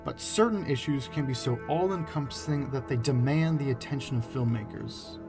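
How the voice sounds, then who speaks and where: A man's voice: medium pitch, normal speed, over music. A man reading scripted narration for a video essay.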